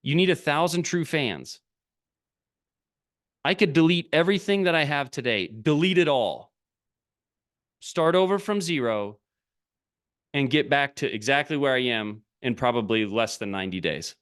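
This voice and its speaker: A man's voice: mid-range voice, lively intonation, normal speed, pauses often, fairly bright tone. A middle-aged man coaching a group over a video call, talking bluntly in short, punchy, repeated sentences. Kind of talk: motivational talk on a live video call.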